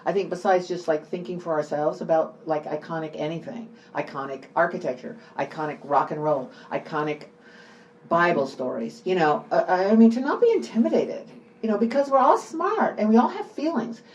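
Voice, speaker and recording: A woman's voice: fairly low pitch, normal speed, a little mellow, dull audio, a little hiss or hum. An older woman speaking off the cuff as an author at a book talk, informal and digressive, stressing and repeating her words. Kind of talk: unscripted conversational book talk.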